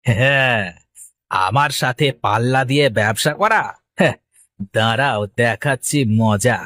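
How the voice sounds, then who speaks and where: Guy doing a jealous sneaky potter for a village cartoon, needling, pitch moves around a lot, dry recording. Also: lively intonation, normal speed, no echo, natural voice. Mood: gloating. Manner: sly, needling. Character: scheming, jealous male village potter, falsely sympathetic rival.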